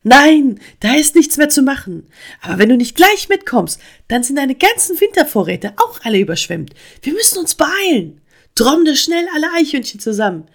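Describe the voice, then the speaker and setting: A woman voicing an urgent, excitable male frog in a children's story, insistent and exclaiming. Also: sits high, normal speed, pitched above the performer's own voice. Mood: alarmed.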